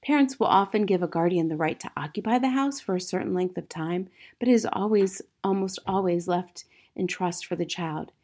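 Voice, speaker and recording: A woman playing a gentle, modest young schoolteacher in a storybook, confiding in a soft, restrained way with quiet resilience. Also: fairly low pitch, brisk, few pauses, natural voice. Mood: resigned.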